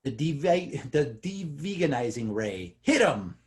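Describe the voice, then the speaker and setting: An actor playing a cocky, loud, officious vegan police officer in an amateur table read. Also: mid-range voice, very animated, takes it slowly. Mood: officious, smug.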